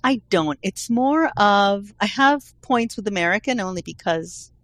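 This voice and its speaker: A woman's voice: mid-range voice, lively intonation, measured pace, fairly bright tone, dry recording. A woman born in Colombia who grew up speaking Spanish chats in a loose, rambling way. She circles back and restarts her sentences as she tells her story. The recording is dry and close. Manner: rambling, restarts often, laughs easily. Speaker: guest. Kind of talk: casual chat.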